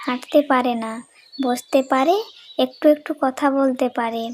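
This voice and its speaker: Woman as a high-pitched, sweet young village wife. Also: steady tone, normal speed, a little mellow, slight background noise, lightly acted. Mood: friendly. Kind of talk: storybook cartoon dialogue.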